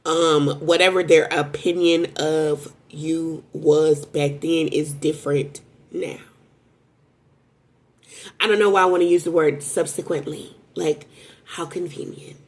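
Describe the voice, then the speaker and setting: A woman giving a casual, rambling tarot reading to viewers, talking in a strong voice that jumps into sudden high exclamations. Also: fairly even intonation, a little slow. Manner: self-described strong voice. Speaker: tarot reader.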